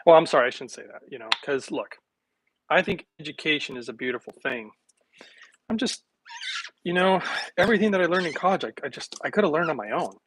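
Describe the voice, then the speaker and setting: A middle-aged man chatting casually with livestream viewers while making a smoothie in his home kitchen, rambling from one thought to the next. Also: on the high side, animated, a little fast, long pauses, background noise. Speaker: wellness vlogger.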